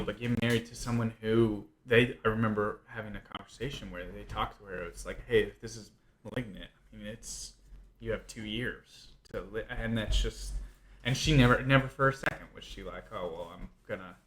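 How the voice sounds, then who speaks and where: A younger adult man co-hosting a casual conversation, talking in a halting way and often rephrasing as he goes. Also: fairly low pitch, fairly even intonation, a bit bright, a little hiss or hum. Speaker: podcast co-host.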